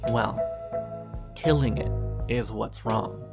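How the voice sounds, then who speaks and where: A performer playing a wistful, brooding narrator who addresses a former lover in slow, poetic, deliberate horror-drama narration. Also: medium pitch, gravelly, loud music behind the voice. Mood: ominous, measured. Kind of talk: scripted second-person horror audio drama narration.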